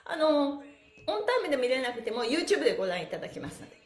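A woman's voice: sits high, sing-song, fast. A middle-aged woman hosting a live stretching class, giving cheerful, encouraging calls and friendly chat with playful polite wording. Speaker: stretching instructor and livestream host. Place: small bare indoor room with wooden floor. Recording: echoey room with background music. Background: music.

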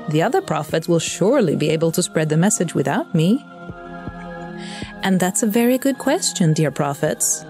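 Woman as a mellow, politely condescending angel messenger. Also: mid-range voice, natural voice. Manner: politely condescending, dryly wry. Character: chatty, bureaucratic female angel messenger addressing prophets. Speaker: narrator and in-story host. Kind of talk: scripted narration, addressing listeners.

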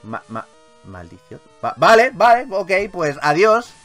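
A man with an accent from Spain giving casual, excitable gaming commentary, repeating his phrases and swearing freely. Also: high voice, unhurried. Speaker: gaming YouTuber, host. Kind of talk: casual, excitable Pokémon let's play commentary. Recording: game music behind voice. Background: video game music.

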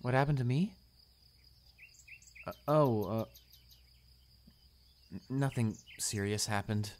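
A young man acting a shy, anxious, bullied high school student in an audio roleplay, stammering and hesitant. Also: takes it slowly, a little mellow, slight background noise, natural voice. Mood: sheepish, subdued. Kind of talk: romantic audio roleplay, one-sided dialogue.

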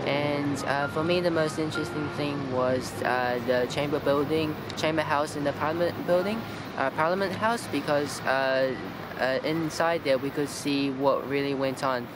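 A teenage young man giving informal, halting tour commentary as a travel vlogger, speaking outdoors to a camera. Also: a little high. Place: outdoors on an open paved plaza. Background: music.

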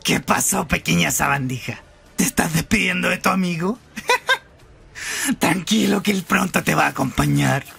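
A man voicing a sadistic, possessive young anime villain with a gravelly, menacing, gloating delivery. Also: high-pitched, moderate pace, sharp tone, pitched above the performer's own voice, heavily acted.

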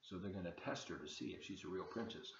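A man in his seventies reading a children's storybook aloud in a folksy, expressive way with chatty asides to young listeners. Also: low voice, quick pace, slight background noise. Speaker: volunteer storybook reader. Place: open living room and kitchen, indoors. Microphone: webcam at desk distance. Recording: dull, roomy home webcam recording.

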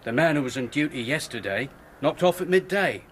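Actor as deferential English country constable. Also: male voice, fairly high pitch, expressive, fairly quick, a little hiss or hum, natural voice. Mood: dutiful. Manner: hesitant, dutiful. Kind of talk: radio drama acting.

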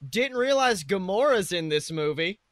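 A man's voice: high-pitched, expressive, moderate pace, fairly bright tone, no room sound, clean studio sound. A young man co-hosting a podcast, chatting casually and comedically with slangy, profane talk. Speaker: podcast co-host.

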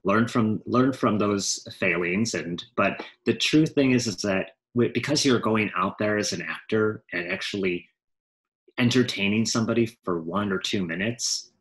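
A man's voice: fairly low pitch, a bit bright, rough recording. A man in his forties, a guest actor, chatting casually on a video call through a headset mic from a home room, often correcting himself mid-sentence. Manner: rambling, self-correcting, frequent asides. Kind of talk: casual interview.

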